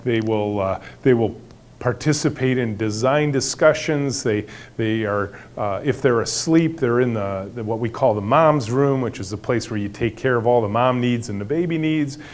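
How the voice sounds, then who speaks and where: Booming animated male storyteller. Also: moderate pace. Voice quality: big booming voice. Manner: animated storyteller acting out dialogue, some restarts. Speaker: company leader giving a talk. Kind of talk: storytelling talk to an audience.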